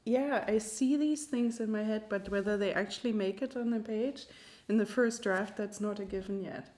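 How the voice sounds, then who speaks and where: A woman's voice: mid-range voice, moderate pace, a little mellow. A woman, an amateur writer, chatting casually about her own writing and often laughing as she talks. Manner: giggly, laughs often while talking.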